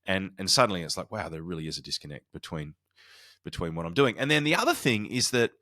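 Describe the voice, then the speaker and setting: A man with a low-pitched voice talks solo as a podcast host and coach, giving practical advice. His delivery is conversational and informal, often halting to restart a phrase. The sound is close and dry, with very little room to it. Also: sing-song, sharp tone, no echo. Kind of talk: conversational solo podcast talk, explaining and advising.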